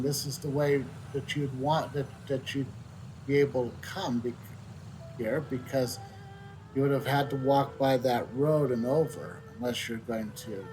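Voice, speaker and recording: Casual male game streamer, medium pitch, unhurried, musing aloud over game music. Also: low-quality recording. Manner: musing, thinking out loud. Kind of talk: casual gameplay commentary.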